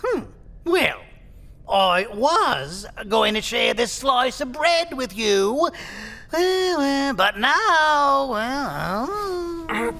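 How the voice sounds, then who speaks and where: A man playing a cheeky, dim old prisoner in an audio drama, stammering and chuckling as he rambles in a creaky, cackling voice. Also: extremely high pitch, very animated, drawn-out words, pushed and bright, full character voice. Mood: teasing.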